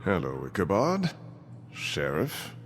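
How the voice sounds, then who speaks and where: A man's voice: low-pitched, huge pitch swings, takes it slowly, exaggerated voice. An actor playing a menacing, smug villain in a video game, sneering and mock-polite as he threatens. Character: menacing, smug fairy-tale villain, impatient strongman interrogator. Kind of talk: video game dramatic acting. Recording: loud music behind the voice.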